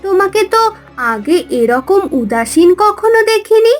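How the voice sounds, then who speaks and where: A woman playing a gentle, caring young mermaid in a cartoon fairy tale, soothing and concerned. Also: extremely high pitch, big pitch swings, measured pace, faint music behind, full character voice.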